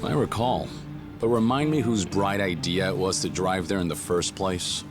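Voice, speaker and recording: An actor voices a stoic young yakuza brawler in a game dub, with a very deep, low-pitched voice. He speaks in dry, clipped, understated lines. Game music plays clearly behind him. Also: moderate pace, natural voice. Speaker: game character. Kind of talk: English video game dub. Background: game soundtrack music.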